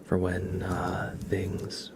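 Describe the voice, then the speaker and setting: A man hosting an ASMR livestream, chatting casually in a soft, breathy, often whispered voice with slow, halting, rambling delivery. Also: sits low, completely flat delivery. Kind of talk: ASMR, casual chat with viewers. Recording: clear background noise.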